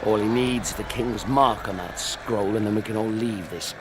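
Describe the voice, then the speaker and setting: An actor playing a grim, weary Roman soldier in a video game, speaking tersely and with restraint. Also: male voice, on the low side, normal speed, noisy background, natural voice. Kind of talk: video game cinematic acting. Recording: loud cinematic music behind.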